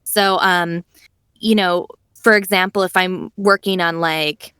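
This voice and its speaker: A young adult woman, a voice actress, talking in a casual podcast interview, chatty and animated with lots of "like" and "you know". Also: on the low side, takes it slowly, clean tone, no room sound, studio quality. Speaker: voice actress guest.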